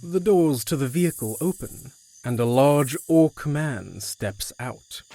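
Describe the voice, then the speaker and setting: A man's voice: mid-range voice, expressive, takes it slowly, warm tone, a little hiss or hum. A man reading scripted narration for an audio drama.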